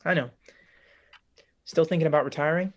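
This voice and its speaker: An actor reading a reserved young male college student in a radio play, giving short, quiet replies. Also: fairly high pitch, moderate pace, natural voice.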